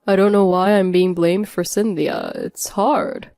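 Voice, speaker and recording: A woman's voice: mid-range voice, fairly even intonation, measured pace, dark tone, no echo, clean studio sound, faint music behind, close to an everyday voice. A woman voicing an indignant, pleading man who blames others in a dramatized family story. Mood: self-pitying. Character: whiny, blaming ex-husband and his bossy father.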